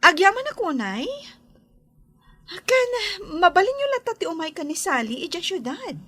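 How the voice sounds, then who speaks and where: Carla's type of young Ilocano bride-to-be in a radio drama. The voice is high and very animated, swooping up and down. The delivery is sugary, theatrical and gushing. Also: female voice, normal speed, dry recording, studio quality, heavily acted. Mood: sweetly smug.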